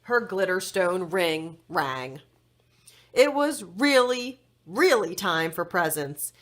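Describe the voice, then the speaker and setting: A woman reading a children's story aloud expressively, with dramatic, deliberate phrasing for young listeners. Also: lowish voice, sing-song.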